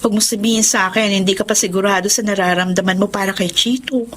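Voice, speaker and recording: A woman playing a frank, supportive young Filipino best friend in a radio drama, expressive and probing. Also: medium pitch, fast talker, lightly acted. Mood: concerned, reassuring.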